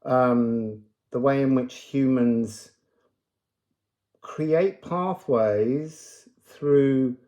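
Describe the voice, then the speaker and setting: A man's voice: mid-range voice, extremely slow, warm tone, dull audio. A man giving an unscripted spiritual card reading to viewers, musing and wandering from thought to thought. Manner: musing, digressive, breaks into chanted phrases.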